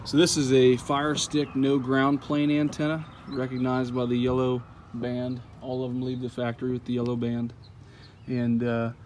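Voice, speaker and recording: A man's voice: medium pitch, slight background noise. A man giving a casual, folksy how-to walkthrough of a truck install outdoors, with frequent "uh" fillers. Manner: relaxed, lots of "uh" fillers, folksy. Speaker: truck owner showing an install.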